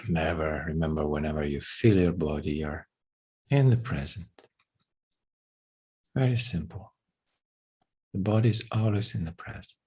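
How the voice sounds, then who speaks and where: Low, husky man guiding a meditation. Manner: slow, gentle, unhurried phrasing with long pauses. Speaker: meditation guide. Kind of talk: guided meditation.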